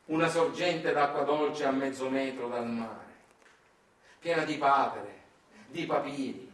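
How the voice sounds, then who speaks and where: A man's voice: mid-range voice, a little slow, fairly bright tone. Distant, echoey Sicilian man telling stories theatrically. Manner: dramatic, ironic, shifts into dialect. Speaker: stage storyteller, monologue actor. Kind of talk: theatrical narrative monologue, satirical storytelling. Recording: roomy, distant microphone.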